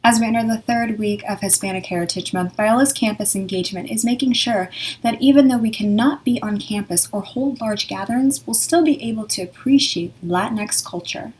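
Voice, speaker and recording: A woman reads a student news report in a husky, slightly breathy voice. Her delivery is earnest and plainly read aloud. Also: mid-range voice, brisk, a little mellow, rough recording.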